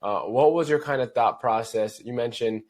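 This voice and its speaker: A man's voice: medium pitch, normal speed, a little mellow. A man hosting a podcast, asking loose, chatty questions full of fillers. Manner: loose and chatty, heavy on fillers like "kinda" and "you know". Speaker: podcast host. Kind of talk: casual interview.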